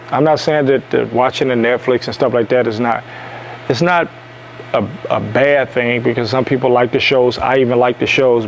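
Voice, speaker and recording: Self-help host, a man from Detroit, mid-pitched, preachy and repetitive, faint room noise. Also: vocal fry, poor audio. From Detroit, USA. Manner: emphatic, repeats lines for stress. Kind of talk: preachy motivational monologue.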